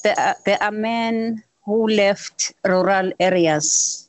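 Woman, musician guest; slow, simple, repetitive answers, close and dry. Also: medium pitch, dry recording. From South Africa. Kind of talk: interview answers.

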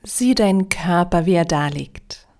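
A woman leading a guided yoga nidra relaxation in a calm, soothing, measured way with long pauses. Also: fairly low pitch.

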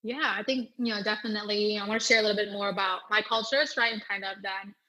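A woman's voice: medium pitch, fairly even intonation, fast talker, pushed and bright, rough recording. A young woman who grew up with Thai as her first language, speaking earnestly as a guest in an interview and often checking in with "right?". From United States. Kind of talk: reflective personal interview answer.

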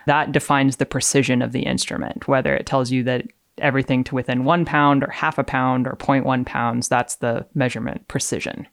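A woman's voice: rumbling low, fairly even intonation, a little fast, vocal fry, no room sound. Female science podcast guest, careful diction on technical readings, conversational when explaining, dry close recording.

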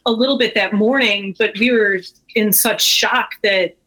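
A woman's voice: mid-range voice, steady tone, measured pace, a bit bright, rough recording. An American woman speaking candidly and informally as an interview guest, emphatic, with frequent filler phrases like "you know". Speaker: interview guest, brewery owner. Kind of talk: casual, candid conversation.

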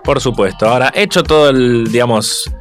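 A man's voice: medium pitch, animated, a little fast, soft background music. A man with a Rioplatense Spanish accent hosting a radio panel, joking and steering the debate. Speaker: radio host. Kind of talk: casual radio panel chat.

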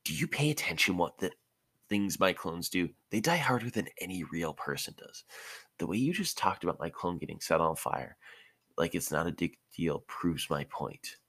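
A man's voice: low-pitched, big pitch swings, moderate pace, fairly bright tone, slightly rough, heavily acted. A man voicing a blunt, protective teenage ninja boy in an informal story reading. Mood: worried.

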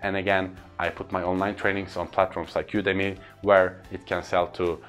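A man's voice: deep voice, flat delivery, normal speed, fairly bright tone, faint music behind. A man from Turkey with a Turkish accent, a trainer and speaker talking earnestly to camera in an upbeat casual vlog. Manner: earnest, upbeat, repeats lists for emphasis.

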